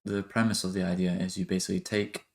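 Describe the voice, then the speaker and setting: A man giving a casual software tutorial, talking in a hesitant, halting way as he thinks aloud. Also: low voice, flat delivery. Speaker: music production tutorial host.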